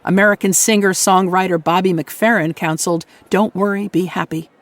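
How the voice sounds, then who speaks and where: A woman's voice: lowish voice, steady tone, normal speed, no room sound. A woman hosting a radio program, reading a scripted introduction to short fiction in a polished narrating style. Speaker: radio show host.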